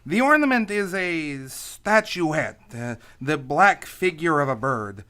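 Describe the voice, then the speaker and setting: An actor playing a small, courteous but dangerous Greek schemer in an old-time radio play, speaking in formal, hesitant, precise phrases. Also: male voice, on the high side, big pitch swings, slow, sharp tone, heavily acted.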